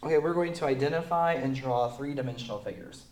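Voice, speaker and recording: A man's voice: medium pitch, fast talker, a little mellow. Sick male teacher, echoey and distant. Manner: casual, filler-heavy explaining. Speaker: math teacher. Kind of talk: classroom lesson recording. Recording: echoey room, far from mic.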